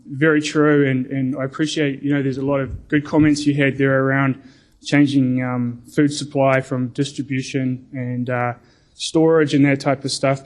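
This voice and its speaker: A middle-aged man with a New Zealand accent giving a conference presentation, talking deliberately with frequent ums and repeated words. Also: medium pitch. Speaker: conference speaker.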